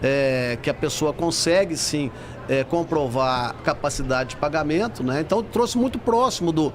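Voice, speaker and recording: A man's voice: a little high, animated, moderate pace. A man with a Brazilian accent explains things as a finance guest in an interview, often checking for agreement with short tag questions. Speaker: housing finance executive, guest. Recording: noisy venue with urban ambience. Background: clear ambient noise of a busy fair.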